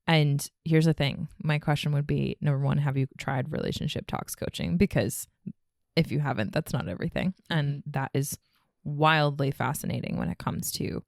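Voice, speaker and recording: A woman co-hosting a couples podcast, explaining her coaching work in a thoughtful, warm way. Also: sits low, gravelly, dry recording, clean studio sound. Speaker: podcast co-host, relationship coach. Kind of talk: casual couples podcast chat.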